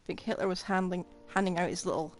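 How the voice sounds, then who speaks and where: A woman's voice: on the low side, quick pace. A woman streamer giving casual commentary on a game, chatty and thinking aloud. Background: game music.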